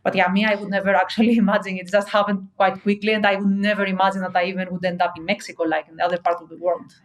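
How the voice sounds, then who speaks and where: A woman's voice: mid-range voice, flat delivery, racing. A woman in her thirties with a Greek accent, answering interview questions earnestly with many fillers and restarts. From Greece. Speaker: podcast guest, SEO strategist.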